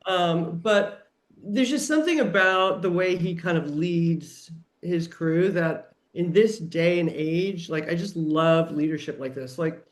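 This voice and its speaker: A woman's voice: fairly low pitch, a little slow. A woman chatting casually on a podcast, enthusiastic and emphatic, with a conversational manner full of "like". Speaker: guest TV writer and producer.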